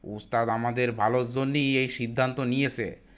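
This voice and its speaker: A man's voice: medium pitch, steady tone, moderate pace, fairly bright tone, smooth, close to an everyday voice. Man playing a rustic bandit henchman. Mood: bossy, urging. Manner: hesitant, rustic colloquial speech. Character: anxious, deferential rustic bandit henchman, loyal to boss. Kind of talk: animated horror story dialogue. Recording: music bed behind voice.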